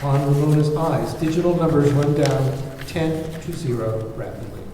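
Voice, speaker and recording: A man's voice: on the high side, normal speed, dark tone. A man reading scene descriptions and stage directions as narrator of a screenplay table read. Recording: very echoey hall, distant mic, faint noise.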